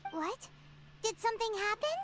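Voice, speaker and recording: A woman voicing a tiny, excitable, childlike fairy companion in a video game, eager and exclamatory. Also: very high voice, normal speed, heavy background noise. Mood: surprised, concerned. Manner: exclamatory, eager, wide-eyed. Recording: loud game music behind.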